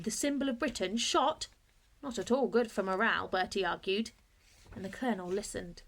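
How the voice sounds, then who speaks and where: A woman reading a children's storybook aloud as a first-person narrator, in a measured, expressive way. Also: mid-range voice.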